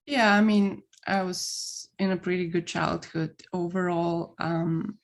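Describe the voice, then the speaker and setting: A woman in her thirties from Russia, speaking English with a Russian accent as her second language, chatting as a podcast guest in a relaxed, hesitant way. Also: lowish voice, slow. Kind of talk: casual chat.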